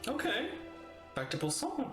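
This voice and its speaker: A man streaming a video game, chatting casually and rambling excitedly as he reacts. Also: very high-pitched, unhurried. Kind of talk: casual livestream commentary. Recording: roomy room sound with game music.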